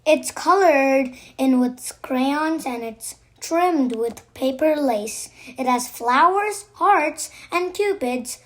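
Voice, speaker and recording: A woman reading a children's storybook aloud in a deliberate, expressive way. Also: high voice. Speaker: children's book narrator. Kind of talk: read-aloud storytelling for kids.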